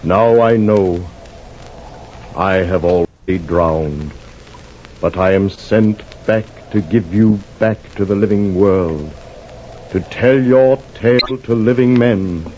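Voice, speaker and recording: A deep, dark-voiced holy carpenter. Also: male voice. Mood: solemn reverence. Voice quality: deep, strong. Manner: measured, grave, reverent. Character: deep, solemn carpenter sent back from death, gentle and holy. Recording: muffled lo-fi radio recording, clear noise.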